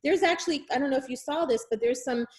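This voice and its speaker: A woman's voice: sits high, quick pace, a little mellow. A woman with a New York accent, a guest chatting on a video call, emphatic and given to repeating herself. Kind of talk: casual video call chat.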